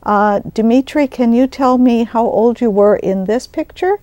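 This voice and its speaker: Woman host with a mellow voice. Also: a little high, measured pace, dry recording, dull audio. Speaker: interview host; college business instructor. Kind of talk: scripted introduction and conversational interview questions.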